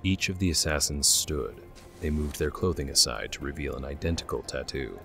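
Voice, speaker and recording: A man's voice: rumbling low, lively intonation, moderate pace, creaky, pushed and bright, faint music behind. A man reading scripted narration for a fantasy audio drama.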